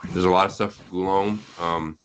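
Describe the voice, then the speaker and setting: A man's voice: low voice, fairly even intonation, moderate pace, highs cut off, a little hiss or hum. A man speaking as a podcast guest in casual conversation, explaining things in a talkative way. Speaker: guest on a podcast, web novel translator.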